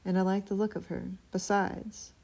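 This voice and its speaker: A woman reading aloud, voicing a calm, dry-witted female dragon from a storybook with a measured way of speaking. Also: mid-range voice, creaky.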